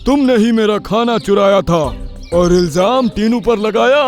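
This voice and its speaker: A woman voicing a stern, hot-tempered parrot king in a children's cartoon, with a booming, imperious delivery. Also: unhurried, darkish tone, soft background music, close to an everyday voice. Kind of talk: Hindi children's cartoon story acting.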